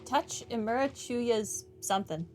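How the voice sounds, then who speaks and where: A woman's voice: on the high side, lively intonation, unhurried, a little mellow, faint music behind. A woman giving casual, reactive commentary as she plays through a video game. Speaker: gamer, playthrough commentator.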